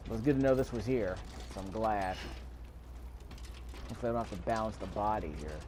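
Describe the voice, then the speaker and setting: A man's voice: fairly low pitch, a little fast, a little mellow, slight background noise. A man giving casual, off-the-cuff gameplay commentary, musing aloud and repeating his phrases. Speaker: gaming video host. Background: faint video game ambience.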